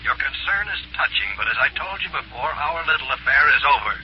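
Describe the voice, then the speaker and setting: A man's voice: a little high, animated, fast, natural voice. A man playing a blunt, skeptical, hard-edged character in an old-time radio mystery, curt and firm. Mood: cool, dismissive. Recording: muffled, hissy vintage radio recording.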